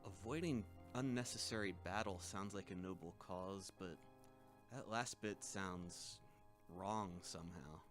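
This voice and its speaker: An actor playing an uneasy, conscientious empath recruit in an audio drama, earnest and questioning. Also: male voice, deep voice, unhurried, fairly bright tone, faint music behind.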